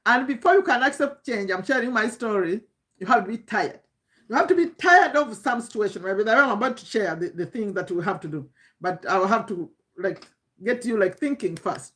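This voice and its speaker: A woman's voice: medium pitch, animated, normal speed, a bit bright. A woman in her fifties with a Ugandan accent gives an informal motivational talk as a coach, emphatically repeating phrases and asking rhetorical questions. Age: 50s. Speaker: online business coach.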